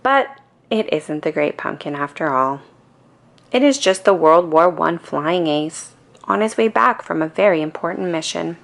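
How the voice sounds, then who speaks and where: Low, creaky-voiced woman reading a bedtime story. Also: unhurried, slight background noise. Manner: calm, expressive storytelling. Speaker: storybook reader. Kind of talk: scripted children's bedtime story narration.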